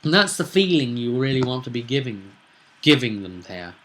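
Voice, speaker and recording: Man with a bright, forceful voice, chatting casually. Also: medium pitch, very animated, moderate pace, slight background noise, rough recording. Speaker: game master presenting own session recap. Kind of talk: casual unscripted commentary on a tabletop roleplay game.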